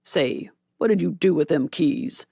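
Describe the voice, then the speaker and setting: A woman voicing a gruff, drunken male robber leader who growls harsh orders at his men. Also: low voice, normal speed, darkish tone, no echo, studio quality, full character voice.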